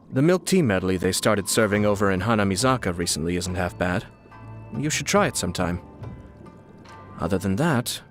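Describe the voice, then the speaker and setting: A man voicing a suave, scheming young nobleman and clan head from a video game, with polished, formal, measured speech and a slyly amused, teasing politeness. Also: lowish voice, close to an everyday voice. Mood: pleasant, mild. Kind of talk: video game character voice lines. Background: music.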